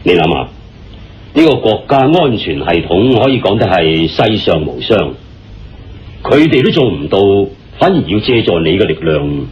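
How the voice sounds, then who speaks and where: Casting: commanding senior police chief, radio drama, Hong Kong Cantonese, lowish pitch with huge theatrical swings. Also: male voice, big pitch swings. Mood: grave, cautioning. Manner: theatrical, hearty, deliberate. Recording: muffled lo-fi radio drama with music.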